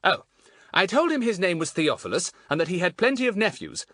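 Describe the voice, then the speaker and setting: Man acting a boastful, lively clever schoolboy. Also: high-pitched, brisk, dry recording, clean studio sound, voice pushed up. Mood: gleeful, boastful. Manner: self-satisfied, chatty relish.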